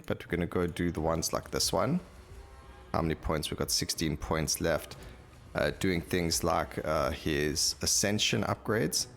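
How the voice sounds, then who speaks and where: Low, gravelly man commentating on a game. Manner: thinks aloud while reading out on-screen stats. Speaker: gaming YouTuber host. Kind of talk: casual gameplay commentary.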